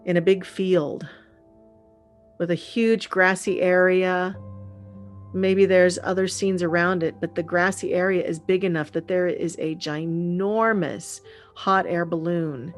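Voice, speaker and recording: Soothing lady doing guided meditation, lots of pauses, voice a bit low, gentle music underneath. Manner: calm, soothing, unhurried with frequent pauses. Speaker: meditation leader. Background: gentle instrumental music.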